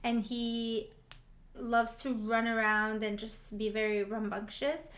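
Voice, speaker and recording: A woman's voice: a little high, slow pace. Young woman co-hosting a podcast. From Albania. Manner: lively, storytelling, laughs often. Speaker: podcast co-host. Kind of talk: casual chat.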